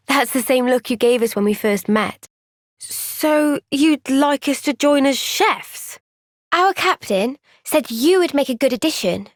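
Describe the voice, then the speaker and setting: A young female warrior from a video game cutscene. Her voice sits fairly high and is recorded very dry and close. She takes her time over her words, sounding earnest, caring and thoughtful. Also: lively intonation, slow, no room sound. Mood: conversational.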